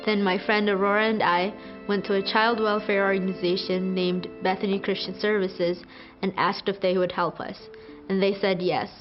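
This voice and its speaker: A teenage girl from Ethiopia, a student, narrating a scripted video in an earnest, measured way. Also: mid-range voice, level tone. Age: teens. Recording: muffled, over guitar music.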